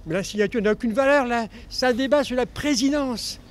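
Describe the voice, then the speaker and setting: A man's voice: very high-pitched, fairly quick, a little hiss or hum. A man interviewed at an outdoor market, indignant and stumbling over his words, speaking into a reporter's mic. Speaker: right-wing voter interviewed at a market.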